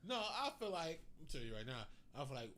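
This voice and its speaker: Bright-voiced American man, animated podcast talk. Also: a little high, fast talker. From United States. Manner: emphatic, profane, slangy. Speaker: podcast co-host. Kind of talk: casual, animated back-and-forth conversation. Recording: clearly audible background noise.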